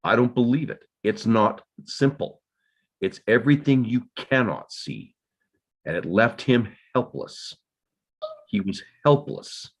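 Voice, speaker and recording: Man acting husky, defensive, guilt-ridden father. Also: medium pitch, expressive, slow, full character voice. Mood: indignant, insistent. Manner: insistent, defensive, terse. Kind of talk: dramatic script table read.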